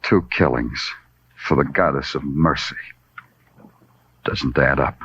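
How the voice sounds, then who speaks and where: A man's voice: a bass voice, takes it slowly, a bit bright, breathy edge, heavily acted. A man playing a wry, world-weary police detective in a 1950s radio noir, with clipped, dry, poetic phrasing. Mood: somber. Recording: dull vintage radio recording with music.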